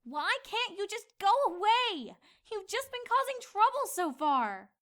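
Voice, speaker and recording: A woman voicing young female cartoon ponies in a theatrical, expressive fan-fiction reading, by turns exasperated and fussy. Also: very high voice, a little slow, heavily acted.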